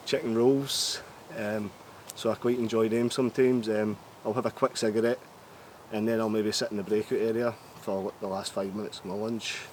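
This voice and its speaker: A young man talking casually about his workday, relaxed and hesitant, with lots of ums. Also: on the low side, a little hiss or hum. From Scotland. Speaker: call centre worker describing his day. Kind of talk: casual monologue.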